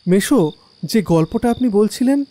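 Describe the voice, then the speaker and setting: An actor playing a curious young visitor who narrates a scripted horror audio drama. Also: male voice, high voice, expressive, normal speed, dark tone, big-room sound.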